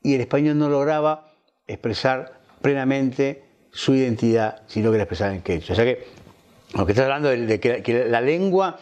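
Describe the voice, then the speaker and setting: A man with a Rioplatense accent hosts a literary interview in a conversational way. His voice sits at an average pitch, and he offers reflections as he guides his guest. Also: lively intonation, moderate pace, a little mellow, smooth. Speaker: interviewer on a literary television programme.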